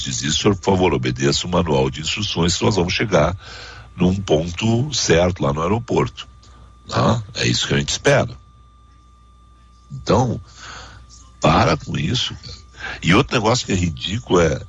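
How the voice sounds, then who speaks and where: A man's voice: sits low, creaky, slightly rough, dull audio. A man with a southern Brazilian accent hosting a radio talk show, giving casual, opinionated commentary in an emphatic, repetitive, colloquial way. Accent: southern Brazilian Portuguese.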